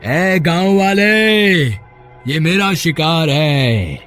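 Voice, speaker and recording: A man's voice: fairly high pitch, lively intonation, extremely slow, a bit bright, soft background music, exaggerated voice. A man voicing a hungry, menacing severed-head ghost in a horror cartoon, threatening and commanding. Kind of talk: Hindi horror cartoon character voice.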